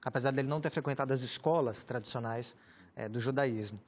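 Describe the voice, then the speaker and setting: Brazilian pastor explaining scripture calmly. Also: male voice, mid-range voice. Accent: Brazilian Portuguese. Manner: measured, explanatory, with many rhetorical tags. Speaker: pastor and guest teacher. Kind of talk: explanatory Bible teaching in conversation.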